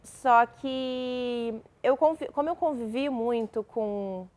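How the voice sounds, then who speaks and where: A woman's voice: on the high side, slow, a little mellow. A young woman from Brazil, a novelist guest in an interview, talking casually with many fillers and restarts.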